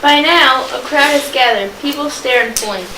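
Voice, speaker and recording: A woman's voice: sits high, normal speed. A young woman reading scripted narration aloud in an amateur student performance. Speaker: student narrator in a reader's theater. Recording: roomy, distant mic, clearly noisy.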